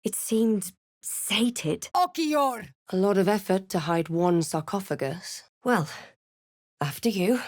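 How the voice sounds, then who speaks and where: An actress playing a wary, snarky female adventurer in a fantasy video game, with a dry, measured, theatrical delivery. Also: medium pitch, lively intonation, dry recording. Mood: forceful spell casting. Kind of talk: video game voice lines, scripted barks and narration.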